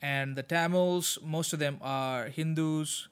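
Sri Lankan-born pastor, thoughtful and halting. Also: male voice, fairly high pitch, fairly even intonation, clear, resonant tone. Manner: thoughtful, with frequent restarts and fillers. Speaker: pastor, podcast guest. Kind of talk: conversational interview answers.

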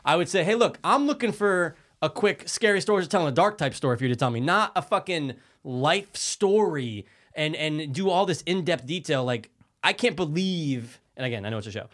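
A man's voice: a little high, animated, a little fast, fairly bright tone. Blunt sarcastic man in casual chat. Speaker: podcast co-host.

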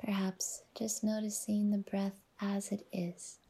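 A woman leads a guided meditation in a soft, breathy near-whisper. Her delivery is very slow and soothing, with long pauses. The pitch stays flat and even, settling gently from phrase to phrase. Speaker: yoga nidra guide and podcast host.

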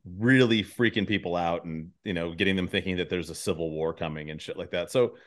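A man with a fairly low voice hosts a casual podcast chat. He talks in a rambling way, working out his thoughts aloud, and drops swear words easily. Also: steady tone, fairly quick. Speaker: podcast host.

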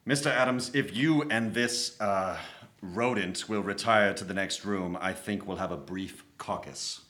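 Man playing a low, sharp-voiced, incredulous chief. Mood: hesitant, official. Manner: halting, formal, disbelieving. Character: incredulous, hesitant official chief in charge. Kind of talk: improvised comedy radio play parody.

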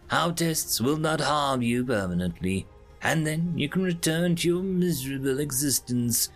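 Man as a haughty, imperious alien invader. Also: medium pitch. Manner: formal, imperious, condescending. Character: haughty telepathic alien invader, slender and jade green.

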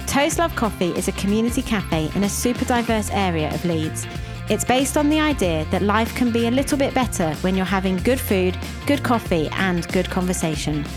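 A woman, hosting a conversational podcast and telling her story in a reflective way with many pauses. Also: medium pitch, expressive, over music. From England. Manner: reflective, with frequent ums and pauses. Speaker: podcast host and cafe founder.

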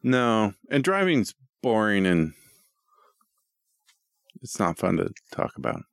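A man's voice: lowish voice, very animated, slow pace, vocal fry, no room sound, studio quality. A middle-aged American man chatting casually as a podcast co-host, rambling and self-deprecating, with some easy swearing. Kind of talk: casual chat.

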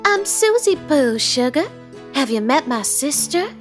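Quirky, creepy-sweet young woman, horror comedy radio play; highish, very animated, odd affected dialect, gushes and repeats herself. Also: unhurried, faint music behind. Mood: gushing, cheery. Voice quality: affected, unplaceable folksy dialect. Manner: gushing, repeats words, quirky endearments. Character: eccentric sweet-talking, sinister young woman in nightgown.

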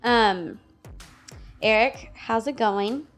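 A woman from Michigan hosting a casual podcast, upbeat and chatty with quick reactions. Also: fairly high pitch, soft background music. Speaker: podcast host. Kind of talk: casual chat.

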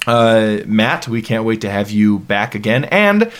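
Laughing animated podcast host, late twenties. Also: male voice, on the low side, measured pace. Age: 20s. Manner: animated, laughs often, many fillers. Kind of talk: casual chat.

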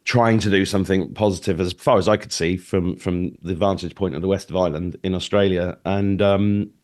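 A man's voice: low-pitched, fairly even intonation, brisk, a little mellow. A middle-aged man from the UK chatting informally as an interview guest, blunt and joking in manner. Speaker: talk show guest and podcast interviewer. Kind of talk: casual conversational interview chat.

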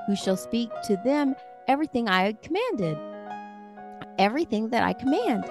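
A woman with an American accent, speaking as a podcast co-host in an informal, wry way. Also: fairly high pitch. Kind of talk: casual conversation and scripture reading.

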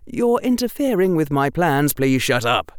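A narrator voicing a quick-witted schoolboy hero under pressure, pointed and controlled, in an audiobook. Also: medium pitch, sing-song, clear, resonant tone, dry recording, studio quality, pitched above the performer's own voice, lightly acted. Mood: mild reproach.

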